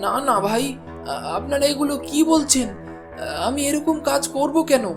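Squeaky stuttering crooked meat seller guy, a woman doing him, cartoon. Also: normal speed, music in the background. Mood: nervous, flustered. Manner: stutters nervously. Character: shifty stammering male meat seller.

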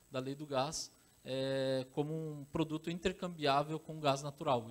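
A man in his thirties from Brazil giving a conference talk with a handheld microphone on stage, often pausing with fillers and restarting his sentences. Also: on the high side. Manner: hesitant, many fillers and restarts. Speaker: conference speaker. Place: large conference hall on stage.

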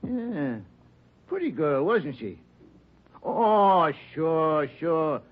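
Glib union steward, bouncy lively voice, dull old radio sound. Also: male voice, on the high side, drawn-out words, full character voice. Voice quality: breezy, glib. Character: evasive male union steward, breezy and glib. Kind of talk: 1940s radio acting. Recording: dull 1940s radio recording.

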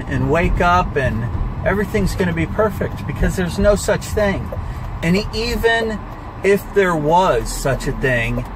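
A middle-aged man giving a blunt, emphatic motivational monologue in short, deliberate phrases while driving. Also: sits high. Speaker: life coach. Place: inside a moving car, driving. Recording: steady car and air-conditioning noise. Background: road and car ventilation noise.

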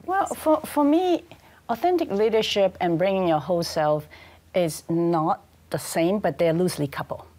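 A woman in her fifties with a Hong Kong accent speaks on a panel in a studio, picked up by a lapel mic. Her voice sits on the lower side for a woman. She talks in a measured, thoughtful way and leans on the key words. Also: lively intonation, mellow. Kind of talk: panel discussion.

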